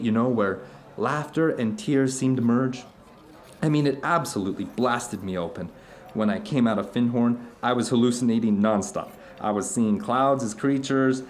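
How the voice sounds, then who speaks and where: Guy acting as middle-aged man telling long earnest stories over dinner, audio drama, fervent and rambling, medium pitch. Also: lightly acted. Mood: excited.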